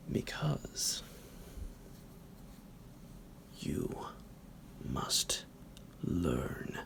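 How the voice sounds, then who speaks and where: A man playing a psychotic, possessive vampire doctor in an ASMR roleplay, breathy and gravelly, with a drawn-out, menacing, mock-affectionate delivery. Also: sits low, heavily acted. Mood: possessive, commanding. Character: psychotic male vampire doctor, possessive, sinister, condescending kidnapper. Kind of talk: ASMR roleplay, slow theatrical monologue.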